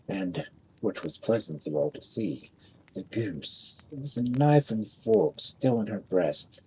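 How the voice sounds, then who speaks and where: A man reading a fairy tale aloud as a storyteller, dramatic and expressive, drawing out phrases for effect. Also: mid-range voice, breathy edge, low-quality recording.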